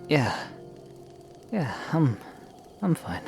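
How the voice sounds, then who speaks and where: A man's voice: a little high, big pitch swings, extremely slow, creaky, faint music behind, full character voice. A man playing a protective young knight hero in an audio drama, earnest and terse. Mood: apologetic, worried. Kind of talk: fan audio drama acting.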